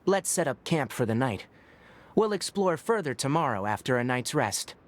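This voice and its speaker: A man voicing a patient, knowledgeable young ranger in a video game, calm and explanatory with a mildly teasing edge. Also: mid-range voice, normal speed, natural voice. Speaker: forest ranger and guide. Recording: game audio with faint music behind. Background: faint game music.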